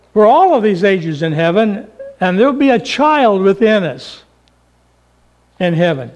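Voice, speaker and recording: Lively, high-pitched older male preacher. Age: 70s. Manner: folksy, humorous, rhetorical questions. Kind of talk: sermon.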